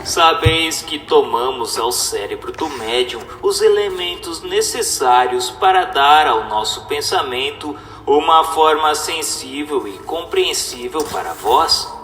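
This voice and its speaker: A man giving a scripted, solemn reading in a formal, didactic and deliberate manner, as a narrator. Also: on the high side, expressive, bright, forceful tone. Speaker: narrator reading a spiritist text. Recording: echoey reverberant voice with music. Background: music.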